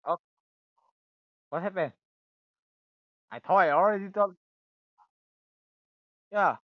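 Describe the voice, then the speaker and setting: A man's voice: high-pitched, slow, no echo, highs cut off. Joking young man with a Russian accent. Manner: joking, stammering, excitable. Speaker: channel host. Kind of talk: casual prank chat on a voice call. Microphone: phone on a voice call.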